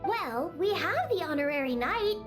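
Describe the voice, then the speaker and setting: Tiny high voiced grumpy cat girl from an anime game, bossy, bouncy pitch, music behind. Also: big pitch swings, normal speed. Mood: curt, haughty. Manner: curt, indignant, bossy. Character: haughty, grumpy young cat-girl bartender.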